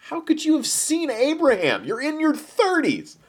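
A man voicing proud religious elders who scoff and accuse, loud and indignant, in a dramatized Bible story. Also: mid-range voice, wildly swooping pitch, moderate pace, breathy edge, exaggerated voice. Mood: scornful, accusing.

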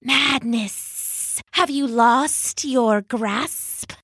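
A woman plays a lazy, haughty snake that drags out its words with a hiss. Everything is overdone and theatrical, slow, and recorded dry and close. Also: fairly high pitch, fairly bright tone, husky, no echo, full character voice. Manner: drawling, hissing, theatrical.

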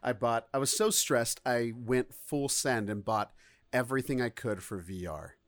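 A man's voice: mid-range voice, normal speed, dry recording. A man co-hosting a podcast, chatting casually in a rambling, self-mocking way. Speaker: podcast co-host. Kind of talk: casual chat.

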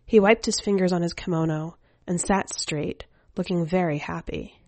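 Woman, story reader, lower-pitched, scripted first-person narration, dry recording. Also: steady tone, moderate pace, a little mellow, dry recording. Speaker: podcast editor and story reader.

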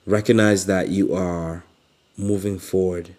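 A man from Uganda giving a casual motivational talk in a relaxed, halting way, with fillers and frequent laughs. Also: low-pitched. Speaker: motivational speaker.

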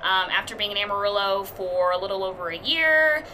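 A woman's voice: medium pitch, animated, fairly quick, noisy background. A young woman in her twenties, a TV news reporter, chatting upbeat and casually in an interview with many fillers.

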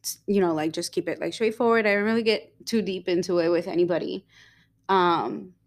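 A young woman co-hosting a casual podcast, talking in a rambling way with many fillers and quick giggles. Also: on the low side, fairly even intonation. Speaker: podcast co-host.

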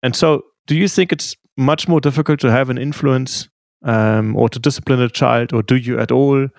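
A man's voice: mid-range voice, steady tone, moderate pace, creaky, dry recording. A man hosting an interview, asking long, thoughtful questions that wind as he goes. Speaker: interviewer, host. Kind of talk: conversational interview.

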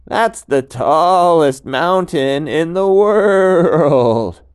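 A confident storybook mountain goat, played by a man, loud and slow, stretching out big exclamations. The goat is proud of itself and easily amazed, with a voice lifted and pushed out boldly. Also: on the high side, animated, clear, resonant tone, pitched above the performer's own voice. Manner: boastful, drawn-out exclamations.

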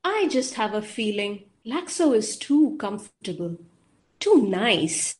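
A woman playing a suspicious, earnest scientist in an audio drama, speaking in a deliberate, measured way. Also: mid-range voice, very animated, a little mellow. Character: suspicious, earnest female scientist, quietly insistent.

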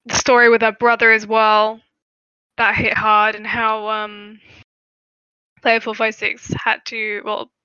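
A woman's voice: mid-range voice, sharp tone, clear, resonant tone, no echo. A woman co-hosting a podcast, chatting casually about a TV series and stammering through her thoughts. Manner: stammering, hesitant, many fillers. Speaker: podcast co-host. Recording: dull lo-fi dry recording.

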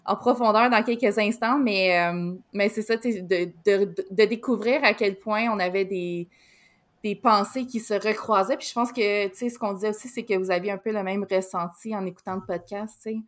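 A woman with a Québécois accent hosts a podcast interview in a chatty, informal way. She loops through fillers and restarts, and her voice sits at an ordinary middle pitch. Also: moderate pace. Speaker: podcast co-host. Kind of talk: casual interview chat.

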